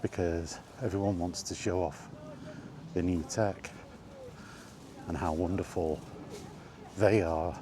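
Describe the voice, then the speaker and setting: A middle-aged man in a casual, rambling vlog chat, speaking conversationally with halting pauses while out walking. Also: low voice, steady tone. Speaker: gaming vlogger. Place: outdoors, walking. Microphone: microphone with wind guard. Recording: windy outdoor recording, background noise.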